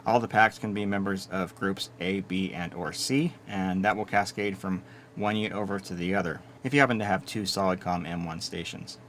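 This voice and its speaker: Low-voiced man demoing gear. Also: moderate pace, slight background noise. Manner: steady, methodical explaining with small restarts. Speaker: product reviewer. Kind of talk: hands-on gear walkthrough.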